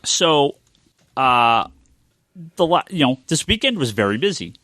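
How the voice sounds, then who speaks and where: A man's voice: mid-range voice, sing-song, measured pace, bright, forceful tone, dry recording, studio quality. A man with an American accent in casual podcast discussion as a host, thinking aloud and repeating phrases as he goes. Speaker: podcast host, comic shop owner.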